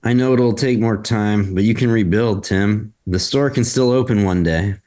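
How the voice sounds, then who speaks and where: Low-voiced man as a steady friend. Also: moderate pace, lightly acted. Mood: reassuring. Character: steady, supportive friend offering reassurance. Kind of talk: table read of a scripted story.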